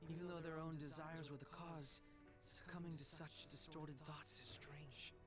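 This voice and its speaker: An actor playing a composed, analytical young male detective in a video game, speaking formally and deliberately. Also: medium pitch, steady tone, lightly acted. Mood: composed reflective. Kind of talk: dramatic video game voice acting. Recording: loud game music behind the voice. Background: loud game music.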